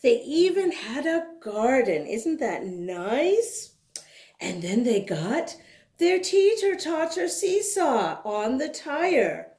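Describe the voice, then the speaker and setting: A woman reading a storybook aloud to young children, expressive and full of exclamations, with chatty asides to her listeners. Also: sits high, takes it slowly. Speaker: children's storybook reader and channel host. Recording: roomy, echoing sound.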